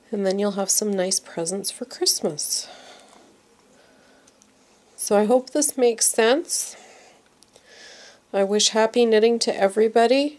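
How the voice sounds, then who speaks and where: A woman's voice: medium pitch, darkish tone. A woman teaching knitting step by step, sounding congested from a cold and repeating each move patiently. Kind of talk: slow step-by-step craft tutorial.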